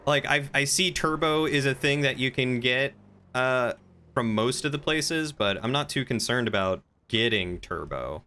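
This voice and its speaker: A man's voice: medium pitch, lively intonation, measured pace, pushed and bright. A man streaming a game, chatting casually and improvising roleplay in a polite, hesitant way.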